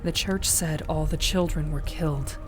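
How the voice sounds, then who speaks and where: An actress playing a young woman of the church who returns to her uncle, speaking quietly and haltingly. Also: sits low, fairly even intonation, lightly acted. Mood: subdued. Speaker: niece. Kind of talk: fan audio drama acting. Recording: music score behind.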